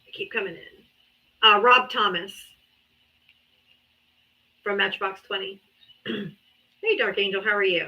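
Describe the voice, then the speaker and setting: Fifties woman host, plainspoken and salty, bright voice. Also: mid-range voice, unhurried, long pauses, dull audio. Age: 50s. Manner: blunt, swears freely. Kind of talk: casual chat.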